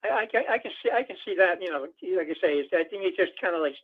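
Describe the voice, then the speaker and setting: A man's voice: high voice, expressive, fast. A man calling a radio show over a phone line, chatting about politics in a rambling, folksy way full of filler phrases. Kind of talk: casual political phone-in chat. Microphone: telephone. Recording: tinny narrowband phone line.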